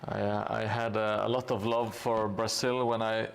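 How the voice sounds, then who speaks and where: A man streaming live, chatting casually and thanking viewers while he paints, with a relaxed, hesitant delivery full of fillers. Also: on the low side, moderate pace, creaky, smooth.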